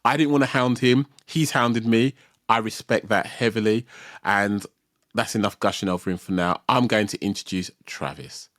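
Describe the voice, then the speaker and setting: A man's voice: on the low side, moderate pace, a bit bright, no echo, studio quality. A man, hosting a casual podcast interview, friendly and reflective, laughing easily. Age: 40s. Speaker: podcast host.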